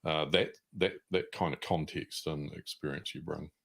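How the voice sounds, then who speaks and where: Very deep-voiced New Zealand man. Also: fairly even intonation, normal speed, vocal fry, a bit bright. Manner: folksy, colloquial, uh-filled rambling. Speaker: podcast host. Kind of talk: casual interview talk.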